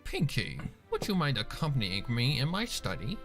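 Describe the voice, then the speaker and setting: Man voicing a polite, composed creature host, animated. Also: mid-range voice, moderate pace, soft background music. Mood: polite, commanding. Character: courteous, composed male chaos creature hosting guests.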